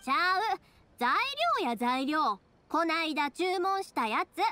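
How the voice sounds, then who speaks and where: A woman voicing a cheerful, caring young fireworks maker with a Kansai accent, chatty and expressive, in an anime game. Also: high voice, a little slow, no room sound, exaggerated voice. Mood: insistent. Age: young adult.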